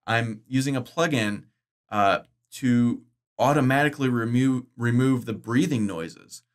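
A middle-aged man vlogging about course-making software, chatty and enthusiastic, his voice bass-boosted with the breaths filtered out. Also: measured pace. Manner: chatty, enthusiastic, loose with fillers and restarts. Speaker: online course creator. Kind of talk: casual explanatory vlog. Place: indoors, green-screen studio.

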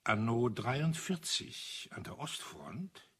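Husky older man, retired officer. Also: medium pitch, very animated, slow, fairly bright tone, heavily acted. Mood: grim, restrained. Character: old retired officer, bitter, measured storyteller. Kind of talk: German crime radio play acting.

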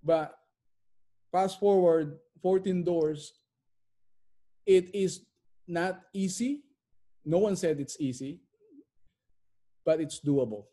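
Male interview guest, middle-aged, somewhat high and mellow voice, emphatic repetition, conversational. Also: slow, long pauses. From immigrant to Canada. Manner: emphatic, repeats phrases for effect. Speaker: interview guest, real estate investor. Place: bright living room at home.

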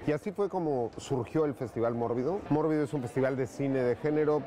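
A man in his thirties with a Mexican Spanish accent, giving a documentary interview as a festival founder. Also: mid-range voice. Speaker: horror film festival founder. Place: seated indoors in a colourfully lit public space. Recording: clear noise behind the voice.

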